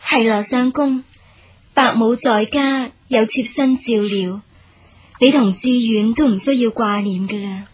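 A commander's considerate wife in a period radio drama. Her voice is on the high side with a husky grain. She speaks formal, soothing Hong Kong Cantonese, gentle and dutiful. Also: a little slow. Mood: gentle, supportive.

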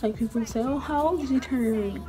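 A woman's voice: fairly high pitch, brisk, mellow, faint music behind. A 19-year-old woman chatting casually in her bedroom while doing her makeup, rambling with lots of "like" and "um". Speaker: beauty vlogger. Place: small bedroom.

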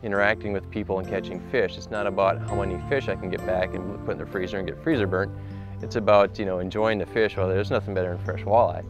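A man talking in a relaxed interview, leaning on "you know" as he goes. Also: deep voice, fast. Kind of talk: casual interview. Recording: interview with loud music under it.

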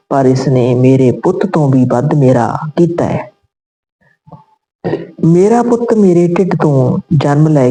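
A woman reading a scripted moral story as narrator, in measured phrases. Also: deep voice, warm tone, poor audio.

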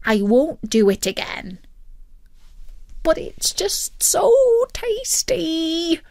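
A woman's voice: big pitch swings, very slow, a little mellow, dry recording, clean studio sound, heavily acted. Woman doing a whiny greedy turkey. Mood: sheepish. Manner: pleading, high and wailing. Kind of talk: children's storybook reading.

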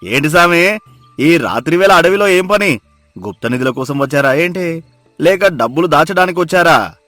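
A man playing a mocking forest hunter who drives a hard bargain, loud and taunting, in a moral story. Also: a little high, expressive, normal speed, dry recording, faint music behind, voice pushed up. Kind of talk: dramatized moral story acting.

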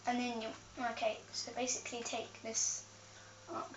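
A woman's voice: on the high side, level tone, moderate pace. A young woman talking through a game tutorial, hesitant with many ums and self-corrections, heard from a camera filming a TV. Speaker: gameplay tutorial host. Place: room with a TV, off camera. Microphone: camera filming the TV screen. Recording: echoey, distant, dull, noisy camera audio.

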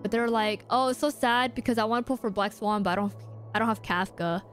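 A woman livestreaming a game with a slightly high voice. She chats casually with viewers in halting, slangy commentary, with faint game music behind her. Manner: informal, halting, slangy speech. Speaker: game streamer. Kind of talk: casual live gameplay commentary with chat.

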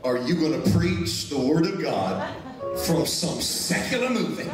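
A man, preaching an energetic sermon with a handheld mic on a large church stage, emphatic and shouting key points. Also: fairly high pitch, a little slow, loud music behind the voice. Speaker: pastor. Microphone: handheld microphone. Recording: echoey hall, mic set back.

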